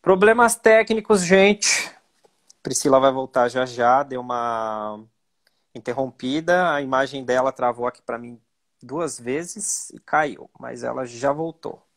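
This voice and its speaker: A man's voice: mid-range voice, a little slow. A man hosting a live stream on a video call, talking informally and straight to the viewers.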